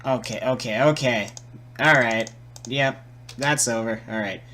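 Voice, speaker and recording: Slow, hesitant man giving casual tutorial. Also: mid-range voice, sharp tone, slight background noise. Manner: hesitant, muttering, repeating words while working. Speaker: tutorial presenter. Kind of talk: casual improvised software tutorial.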